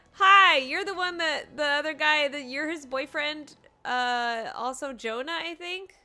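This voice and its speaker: A woman's voice: high voice, pushed and bright. A woman live-streaming a game, reacting aloud with nervous laughter and dramatic dread. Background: game music.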